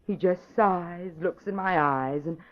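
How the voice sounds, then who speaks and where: A woman's voice: fairly low pitch, measured pace, natural voice. An American woman playing a cool, calculating secretary and criminal accomplice in old radio crime drama style, sardonic and self-assured. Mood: mocking, sly. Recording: muffled old radio recording.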